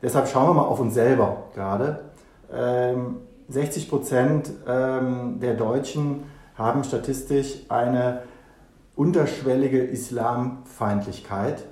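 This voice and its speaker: Man, moderator, mellow voice, pointed questions, echoey room. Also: mid-range voice, takes it slowly. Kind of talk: panel discussion moderation.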